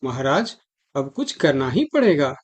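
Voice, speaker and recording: A man's voice: mid-range voice, sing-song, unhurried. A man playing an alarmed small jungle animal in a cartoon, with an urgent, pleading manner. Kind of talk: Hindi animal cartoon dialogue.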